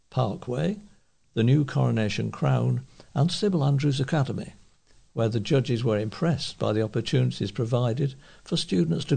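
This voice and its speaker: A man's voice: mid-range voice, vocal fry, darkish tone, husky. A man reading local news aloud as a volunteer newsreader.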